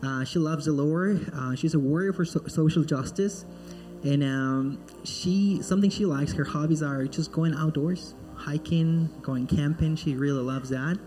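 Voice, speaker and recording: A man's voice: a little high, unhurried, dark tone, soft background music. A man from Peru, a missionary speaking in church in a friendly way and leading prayer. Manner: friendly and joking, then earnest in prayer. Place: church sanctuary.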